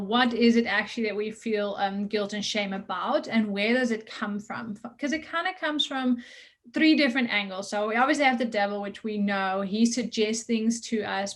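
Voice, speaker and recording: A woman with a mixed Dutch and South African accent, talking earnestly as a coach on an interview podcast, with frequent ums and restarts. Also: fairly high pitch. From Netherlands. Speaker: results coach guest.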